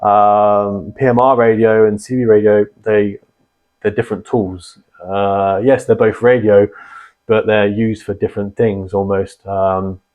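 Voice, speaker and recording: A man hosting a casual explainer on radio gear, rambling and filling pauses with ums and restarts. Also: on the low side. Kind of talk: casual explanatory vlog talk. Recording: dull lo-fi sound.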